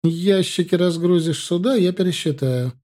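A man voicing a bossy, businesslike woman who runs a storeroom, in a loud, commanding manner for an audiobook. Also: high voice, moderate pace, voice pushed up, close to an everyday voice. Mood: brisk, bossy.

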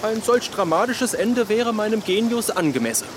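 A vain young poet of the eighteenth century in a radio play. His voice sits fairly high and he declaims in florid, pompous, old-fashioned phrases with melodramatic flair. Loud outdoor ambience with running water lies behind him. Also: lively intonation, moderate pace, background noise. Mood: dramatic self-pity. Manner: pompous, florid, archaic diction. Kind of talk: radio drama acting.

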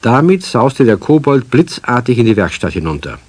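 Male narrator for a children's radio tale, husky tone, dry close studio sound. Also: medium pitch, sing-song, moderate pace, dry recording. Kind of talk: scripted children's story narration.

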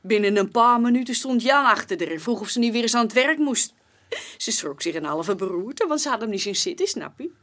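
An actress playing a young, plain-spoken barmaid giving witness testimony in a chatty, colloquial way in a radio play. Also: female voice, fairly high pitch, lively intonation, a little fast, a bit bright. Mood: confiding. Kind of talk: naturalistic radio play dialogue.

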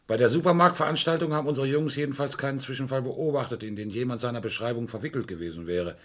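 An actor playing a brisk, sceptical male police inspector in a German radio crime play, questioning in a curt, dry, probing way. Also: medium pitch, fairly bright tone, close to an everyday voice. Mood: dry, pondering.

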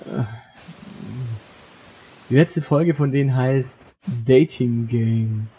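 A man's voice: medium pitch, slow pace, warm tone. Man on a muffled, noisy podcast recording. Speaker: podcast co-host. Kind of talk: casual banter, reading out a list of podcasts.

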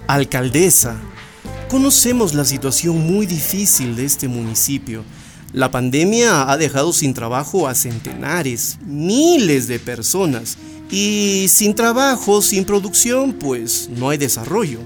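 A performer playing a scheming mining company manager in a radio drama, a wordy, flattering salesman. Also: sits low, a little slow. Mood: courteous, persuasive. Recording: music under the voice.

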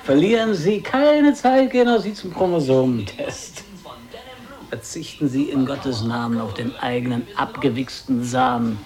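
Slow, sardonic, foul-mouthed man over music, acted. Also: mid-range voice, expressive, a little mellow, close to an everyday voice.

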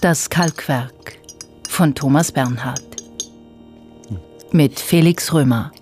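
A woman's voice: deep voice, slow pace, a little mellow, faint music behind. A woman announcer reading scripted radio drama titles and credits.

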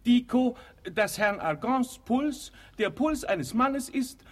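An actor playing a foolish, pedantic young student doctor, an obedient son reciting stiltedly in a German radio comedy. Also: male voice, very high-pitched, lively intonation, slow pace, exaggerated voice. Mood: pompous, dutiful.

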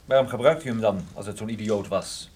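An actor playing a wry, unflinching private detective in radio play dialogue, with a dry, sardonic manner. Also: male voice, on the low side, brisk, darkish tone, breathy edge.

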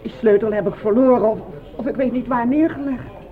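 An actress playing a fearful, distraught soldier's wife with an anxious, lamenting delivery in a radio drama. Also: female voice, a little high, fairly quick, close to an everyday voice. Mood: anxious, despairing. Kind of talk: Dutch radio drama acting. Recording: tinny, narrow-band old radio play.

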